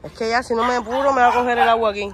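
A woman's voice: a little high, steady tone, rapid-fire. A Cuban woman talking casually to her viewers as a vlogger while walking through the streets. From Cuba. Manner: chatty, addresses viewers as family. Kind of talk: casual vlog narration to viewers. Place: outdoors on city streets. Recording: noisy street recording. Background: street noise, dogs, vehicles.

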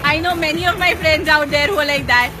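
A high-pitched woman with an Indian English accent talks straight to her viewers, bubbly and chatty as she tastes dishes at an outdoor food festival. She holds a handheld mic close. Music and a busy crowd fill the background. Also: fast talker. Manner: enthusiastic, chatty, addresses viewers. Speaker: host. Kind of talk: live food festival coverage. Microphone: handheld mic, phone camera close.

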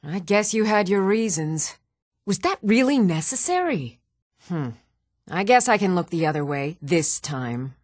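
A woman's voice: fairly low pitch, very animated, a little slow, no echo, studio quality. A woman playing a stern, challenging Nord townswoman ready for a fight in a fantasy video game. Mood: resigned. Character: fierce, even-tempered Nord townswoman warrior, commoner in a fantasy world. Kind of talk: fantasy video game combat and reaction lines.

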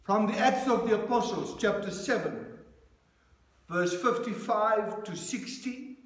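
A man leading a church service as minister, reading scripture and preaching in a measured cadence at a lectern. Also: high-pitched. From South Africa. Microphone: lectern microphone, far camera. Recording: very echoey church room.

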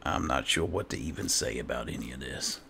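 A man with a deep, gravelly voice giving exasperated, muttering roleplay commentary as he hosts a video game. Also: expressive, brisk, sharp tone, a little hiss or hum. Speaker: gaming host. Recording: game music behind the voice. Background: video game sound and music.

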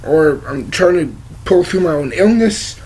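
Sick young man with a high, croaky voice. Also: animated, a little slow, a little mellow, poor audio. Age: young adult. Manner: rambling, gesturing a lot, blunt and swearing. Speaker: movie reviewer. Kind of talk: casual movie review vlog. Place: living room with leather couch, indoors. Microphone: webcam at desk distance.

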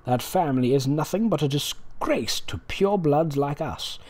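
A man's voice: a little high, animated, normal speed, a little mellow. A man voicing a snobbish, disdainful teenage wizard boy from a fan fiction story, drawing out his words. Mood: disdainful apology. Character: snobbish pure-blood teenage wizard boy, grudgingly apologetic.

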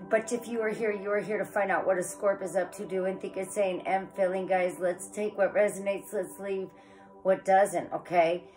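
Woman, 40s, home tarot reader filmed by phone across a table in her living room; chatty, stuffy from a cold, clear music underneath. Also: medium pitch, low-quality recording. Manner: chatty, rambling, says "guys" often. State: head cold, sniffling. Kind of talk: casual tarot reading to camera. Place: living room with electric fireplace, indoors.

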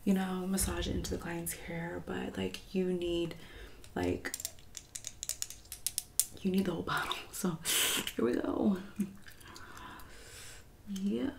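Creaky, soft-spoken young woman, condescending ASMR. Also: lowish voice, fairly even intonation, slight background noise. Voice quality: soft-spoken, often slipping into whisper. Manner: condescending, passive-aggressive, drawn-out backhanded remarks. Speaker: ASMR roleplay creator. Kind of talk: ASMR roleplay as a mean friend and hairdresser.

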